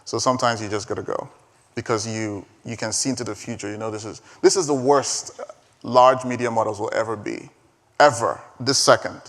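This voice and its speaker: A man's voice: fairly low pitch, fairly quick. Emphatic Igbo-speaking man in reverberant hall. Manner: emphatic, energetic, repeats words. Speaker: conference speaker. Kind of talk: live keynote talk and Q&A. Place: large conference hall.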